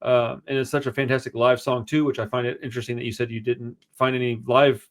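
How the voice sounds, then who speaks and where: A man with a big, booming voice that he projects without shouting. He talks in a loose, slangy, rambling way, piling opinion on opinion as he co-hosts a record review show. His pitch sits in an everyday middle range. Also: level tone, fast talker. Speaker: podcast co-host. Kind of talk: casual music review chat.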